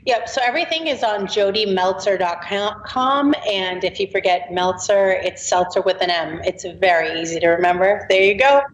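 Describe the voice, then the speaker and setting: A woman's voice: mid-range voice, animated, normal speed, hardly any pauses. A woman in her late forties, an author chatting as a podcast guest, talking easily and laughing now and then. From Boston. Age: 40s. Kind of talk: casual interview chat. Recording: roomy, dull remote audio with faint noise.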